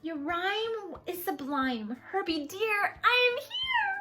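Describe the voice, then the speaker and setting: A woman voicing a lovestruck female slug from a storybook, sweet and yearning, reciting love verse in a drawn-out sing-song. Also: very high-pitched, big pitch swings, bright, forceful tone, soft background music, pitched above the performer's own voice, full character voice. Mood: joyful, tender.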